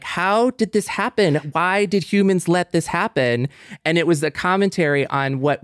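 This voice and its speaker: A man's voice: a little high, clear, resonant tone, no echo, studio quality. A man co-hosting a discussion podcast, talking in an earnest, explanatory, conversational way. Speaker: podcast co-host. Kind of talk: conversational discussion with scripted sign-off.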